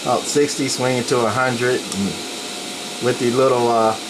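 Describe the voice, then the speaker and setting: Man demonstrating gear over clear background noise. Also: medium pitch, normal speed. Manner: plain-spoken, narrating as he works. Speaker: radio hobbyist presenter. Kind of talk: casual hands-on equipment demonstration. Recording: noisy room with steady equipment hum.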